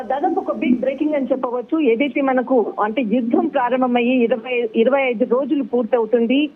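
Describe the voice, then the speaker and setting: A man giving an unscripted live news report as a bureau chief over a tinny phone line, halting and wordy, often repeating himself. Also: very high-pitched. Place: on a phone call into a newscast. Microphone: telephone.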